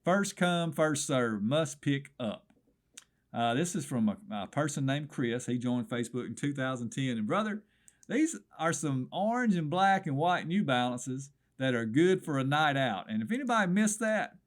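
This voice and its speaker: Hoarse, folksy Southern man wisecracking. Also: medium pitch, animated, normal speed. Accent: Southern American. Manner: folksy and wisecracking, keeps saying "brother". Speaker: swap shop radio host. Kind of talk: comedic radio swap shop call-in.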